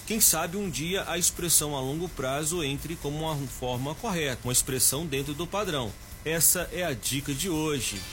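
A man's voice: fairly high pitch, normal speed, a bit bright, faint music behind. A man giving a short grammar tip on the radio, explaining patiently and repeating key words. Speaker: Portuguese teacher giving a radio language tip. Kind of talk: didactic radio segment.

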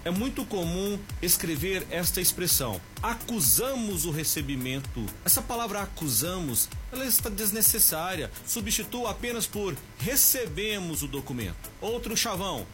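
A Brazilian man gives a scripted radio lesson in a slow, careful, teacherly way. His voice is bright and sits a little high for a man, with soft music running faintly underneath. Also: big pitch swings. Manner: slow, deliberate, didactic. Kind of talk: scripted radio language tip.

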